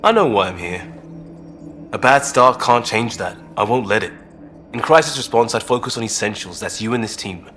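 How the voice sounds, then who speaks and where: An actor voicing an easygoing, earnest crisis-response ex-cop in a video game, casual and blunt. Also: male voice, fairly low pitch, expressive, normal speed, fairly bright tone, faint music behind. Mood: earnest, resolute. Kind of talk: video game dialogue acting.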